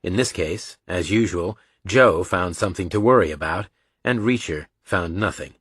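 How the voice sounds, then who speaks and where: A man with a fairly low voice narrates a thriller audiobook. The delivery is scripted storytelling, recorded dry and close, with hardly any room in the sound. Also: moderate pace, a bit bright, slightly rough, no room sound.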